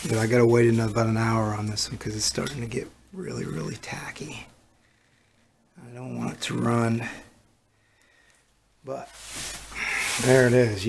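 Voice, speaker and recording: A man's voice: mid-range voice, creaky, echoey room, a little hiss or hum. Middle-aged man narrating in his workshop. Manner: plain, matter-of-fact, talking to himself as he works. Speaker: woodworker narrating his own project. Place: small cluttered home workshop, indoors. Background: talk radio playing in the background.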